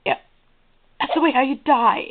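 Raspy, creaky high-pitched let's player, casual gameplay commentary. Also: moderate pace. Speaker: let's play host. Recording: muffled phone-like audio, clear noise, clicks.

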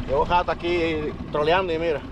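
A man with a Puerto Rican accent giving casual, excitable fishing commentary to viewers from a kayak at sea. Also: on the high side, fast, muffled recording. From Puerto Rico. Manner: excitable, exclaiming, talking to viewers while fishing. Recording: loud wind, water and boat noise. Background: open water and boat noise.

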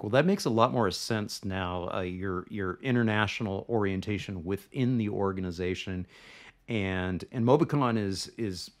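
Low-voiced middle-aged podcast host. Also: male voice. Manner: friendly, hesitant with many fillers. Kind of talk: video call interview.

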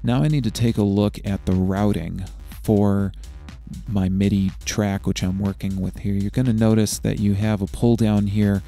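Low-pitched man, gravelly tutorial narrator. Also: fairly even intonation, moderate pace, warm tone, soft background music. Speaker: software tutorial instructor. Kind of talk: step-by-step screencast tutorial narration.

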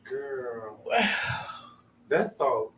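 A man's voice: medium pitch, lively intonation, very slow, recorded from a distance. A man from Florida co-hosting a talk show, chatting bluntly in casual slang with lots of swearing. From Jacksonville, Florida, USA. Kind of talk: casual profane chat. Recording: roomy, off-mic, noisy background.

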